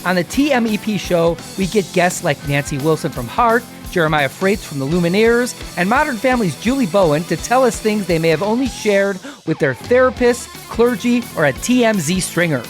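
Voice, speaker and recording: A man's voice: sits high, animated, normal speed, few pauses, faint music behind. Upbeat man reading a podcast ad. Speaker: podcast co-host in an ad. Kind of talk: scripted promo read.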